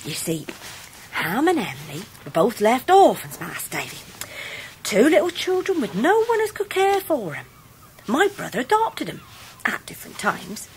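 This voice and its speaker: A woman's voice: on the high side, wildly swooping pitch, normal speed, slight background noise, poor audio. An actress playing a motherly, bustling country nursemaid in a radio drama. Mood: fond, soothing. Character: bustling, motherly rustic nursemaid, fond and hearty. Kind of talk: 1990s radio drama acting.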